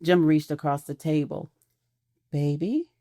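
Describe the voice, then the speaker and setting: A woman narrates a scripted romantic audio drama. Her voice is low and dark-toned, and the recording is close and dry, with no room sound. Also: steady tone, a little slow, no echo.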